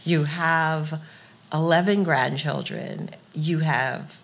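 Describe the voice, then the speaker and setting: A woman's voice: deep voice, fairly even intonation, takes it slowly. A woman interviewing a guest, asking questions and adding encouraging, affirming remarks. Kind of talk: warm conversational interview.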